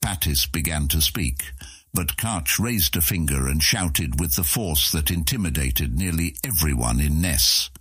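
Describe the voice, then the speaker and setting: A man narrating a fantasy audiobook in an expressive storytelling manner. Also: extremely low pitch, unhurried, breathy edge, dry recording.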